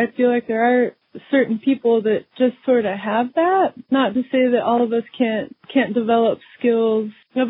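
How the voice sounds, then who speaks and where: A woman guest in a casual interview, talking in a soft voice with many fillers and restarts. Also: on the high side, no echo. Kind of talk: conversational interview. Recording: tinny phone-like line.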